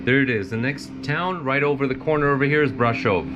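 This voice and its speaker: A man's voice: mid-range voice, a little fast, highs cut off, over music. A middle-aged man from Romania, chatting casually and rambling as he streams a flight and talks to his chat, laughing often. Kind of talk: casual live-stream commentary.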